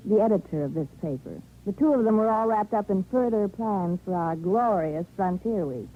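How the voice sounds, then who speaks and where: A woman's voice: mid-range voice, animated, normal speed, close to an everyday voice. A woman plays a restless, sardonic American small-town gal of fifties radio, cool and offhand. The sound is narrow and tinny, with slight hiss. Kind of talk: 1950s radio drama acting. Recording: tinny, noisy old radio recording.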